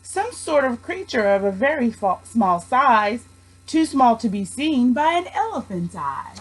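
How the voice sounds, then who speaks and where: A woman voicing a gentle, protective male elephant in a children's storybook, speaking earnestly and emphatically. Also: on the high side, sing-song, measured pace, breathy edge, exaggerated voice, poor audio. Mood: curious, kindly. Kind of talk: children's storybook read aloud.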